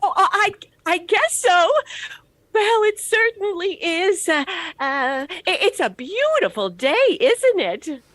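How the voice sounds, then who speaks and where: Woman playing a high-voiced, scatterbrained older lady. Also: very animated, takes it slowly, fairly bright tone, dry recording, full character voice. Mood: flustered. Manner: dithering, stammering, scatterbrained. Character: flighty, absent-minded older landlady, kindly and dithering. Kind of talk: vintage radio comedy acting.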